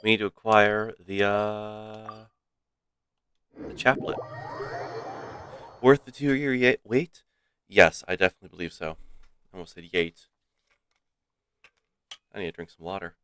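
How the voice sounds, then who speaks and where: A man streaming a game, chatting casually and haltingly as he goes, with pauses to find his words. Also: fairly low pitch, fairly bright tone, clean tone, dry recording.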